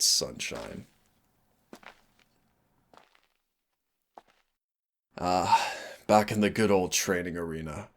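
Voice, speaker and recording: A man's voice: sits low, a bit bright, a little hiss or hum. A man playing a cocky, sardonic demon mentor in a scripted roleplay audio, teasing and exasperated yet fond. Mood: teasing, smug.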